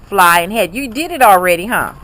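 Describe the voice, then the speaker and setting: A woman's voice: mid-range voice, lively intonation, a little fast, background noise. A middle-aged or older woman, a grandmother, casually coaxing a child through reading with encouragement, exclamations and repeated prompts. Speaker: grandmother coaching a child to read.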